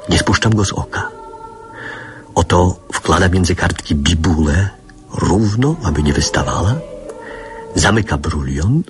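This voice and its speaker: A man's voice: sits low, animated, unhurried, pauses often, music in the background. A man narrating childhood memories in a scripted, literary radio play style.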